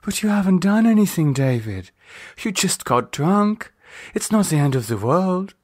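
A male reader acts out a concerned woman in an audiobook drama. The voice is lifted well up in pitch, caring and coaxing with a firm edge. The recording is dry. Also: big pitch swings, moderate pace, a little mellow, no echo. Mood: soothing. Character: worried, caring young woman, firm but soothing.

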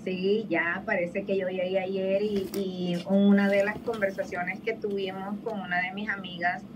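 A woman's voice: mid-range voice, little pitch movement, measured pace, background noise. A middle-aged woman from El Salvador with a Salvadoran accent, a talkative guest on a video-call interview who laughs easily. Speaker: guest, financial advisor. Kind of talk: interview conversation. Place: office. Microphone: video call webcam.

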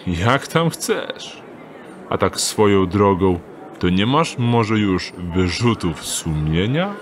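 A slow, low-voiced wry thief. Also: male voice, very animated, slight background noise. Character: wry, unhurried male master thief. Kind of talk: audio drama acting.

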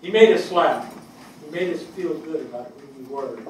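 An older man acting an earnest fire captain in a stage reading, delivering a measured eulogy for a fallen friend. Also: a little high, natural voice. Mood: proud, tender. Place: indoor theatre stage. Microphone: distant camera. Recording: echoey stage, distant mic, faint noise.

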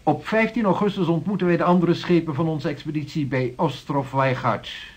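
An actor playing a patient, formal 16th-century Dutch navigator in a radio play, reporting in deliberate archaic phrases. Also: male voice, fairly high pitch, fairly even intonation, husky. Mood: measured, reporting. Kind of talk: radio drama acting, storytelling report.

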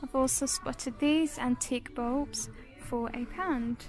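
A woman's voice: high voice, fairly even intonation, takes it slowly, darkish tone, soft background music. A British woman narrating a casual shopping vlog, listing items in short descriptive phrases. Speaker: shopping vlogger. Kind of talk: casual shop-with-me product showcase narration.

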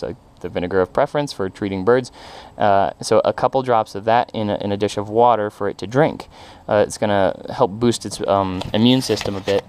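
A man explaining a home treatment step by step in a casual, halting way with many ums and restarts, recorded outdoors in a backyard on a head-mounted camera. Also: lowish voice, a little mellow, clean tone. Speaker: backyard poultry keeper. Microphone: head-mounted action camera.

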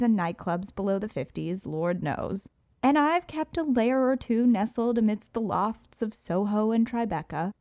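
A woman's voice: a little high, a little mellow, no room sound. A woman reading an audiobook in the first person, with poised, sultry storytelling, recorded on a close studio mic. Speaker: audiobook narrator.

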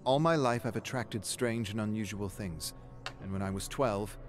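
A man's voice: lowish voice, normal speed, soft background music, close to an everyday voice. Man voicing a theatrical, peppy female legend-hunter. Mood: earnest storytelling. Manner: forced energy, theatrical presenter delivery. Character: peppy young woman presenting spooky urban legend videos.

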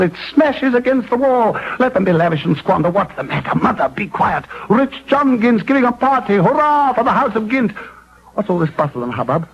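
Boastful, high-voiced young dreamer. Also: male voice, vocal fry. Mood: wild exultation. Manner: theatrical, declamatory, impetuous. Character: boastful, dreamy young vagabond with grand delusions. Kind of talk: 1940s radio acting. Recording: dull 1940s radio broadcast.

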